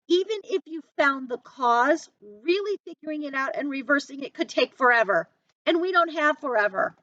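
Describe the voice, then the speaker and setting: A frustrated, defiant teenage boy from a novel, as a woman reads him. The voice is high and forceful, urgent and emphatic. The sound is slightly dull and lo-fi. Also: dry recording. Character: frustrated, defiant fifteen-year-old boy, pleading and indignant.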